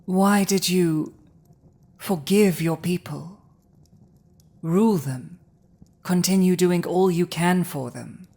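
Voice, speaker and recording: A woman voicing a proud, domineering female dragon with an awkwardly tender side, in a dramatic ASMR roleplay, speaking deliberately with a husky, breathy voice. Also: on the low side, warm tone, heavily acted. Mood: intense, indignant. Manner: slow, deliberate, imperious, with long pauses.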